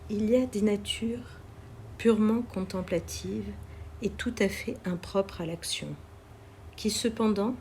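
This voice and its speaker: A woman reads literary poetry aloud in a measured, deliberate way, pausing between phrases. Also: medium pitch, mellow, a little hiss or hum. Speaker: poetry reader.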